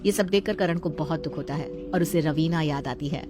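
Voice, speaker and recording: A woman's voice: deep voice, brisk, music in the background. A woman storyteller reading a scripted moral tale as narrator.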